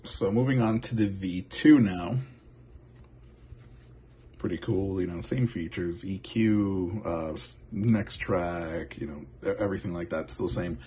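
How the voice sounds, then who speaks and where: A man with a low voice talks through a product demo in a casual, off-the-cuff way. He circles back and restarts phrases as he goes. Clear background noise and small clicks sit behind him. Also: a little slow, mellow. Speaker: product reviewer.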